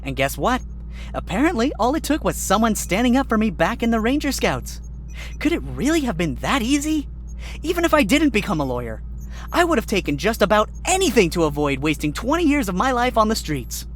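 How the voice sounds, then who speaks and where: A woman's voice: fairly high pitch, very animated, a little fast, slight background noise. A woman voicing a wry male fox, a former con artist turned lawyer, in a fan audio drama. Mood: reflective. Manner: sardonic, teasing.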